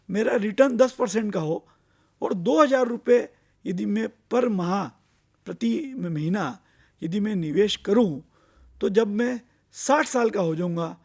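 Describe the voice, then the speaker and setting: Male finance educator, Indian accent, high-set voice with creak, deliberate explanatory delivery that repeats key points. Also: a little mellow. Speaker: finance expert giving investor education. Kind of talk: explanatory lecture mixing Hindi and English.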